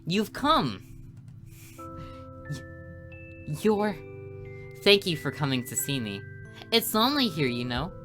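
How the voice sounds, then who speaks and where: A woman's voice: sits low, very animated, takes it slowly, pauses often, a bit bright, full character voice. Woman voicing a mysterious, teasing angelic girl. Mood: wistful, welcoming. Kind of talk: visual novel character read aloud. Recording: music behind the voice.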